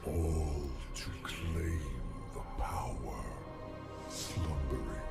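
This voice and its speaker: An actor playing a grandiose, menacing godlike villain in a game cutscene, speaking in a cold, portentous, imperious way. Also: male voice, very deep voice, very slow, vocal fry, heavily acted. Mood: menacing, gloating. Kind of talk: dramatic game cutscene acting. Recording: game audio under loud music.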